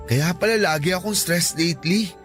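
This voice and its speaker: A man's voice: high voice, normal speed, a bit bright. An actor with a Filipino accent playing a shy, earnest young man in a conversational radio drama. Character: shy, earnest young man, introverted first-time volunteer. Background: background music.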